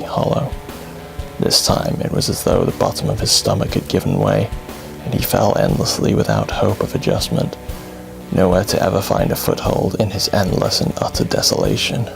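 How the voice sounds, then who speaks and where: A man narrating a scripted horror bedtime story in a grave, dramatic, deliberate way, with music under his voice. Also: fairly low pitch, creaky.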